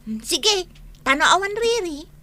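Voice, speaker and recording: Cheeky, excitable kid, extremely high bright voice, Ilocano radio comedy drama. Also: female voice, measured pace, exaggerated voice. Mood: sneaky. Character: cheeky, excitable child of squabbling parents.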